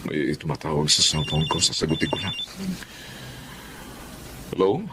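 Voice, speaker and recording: A man's voice: rumbling low, fairly even intonation, normal speed, very noisy. A Filipino man playing a kindly, concerned middle-aged husband in a radio drama, earnest in manner. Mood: alarmed.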